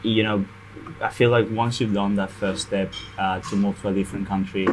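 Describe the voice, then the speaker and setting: A man's voice: fairly low pitch, level tone, moderate pace, a little hiss or hum, low-quality recording. A man, an immigrant host in a casual chat, speaking thoughtfully and agreeably in a restaurant. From immigrant to Australia. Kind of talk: casual conversation. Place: restaurant, indoors.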